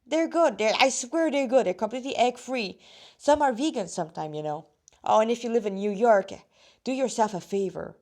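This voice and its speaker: A woman's voice: on the high side, fairly quick, a little mellow. A woman with a slightly rusty voice reading her own story aloud, casually and with rambling asides, often breaking off mid-sentence.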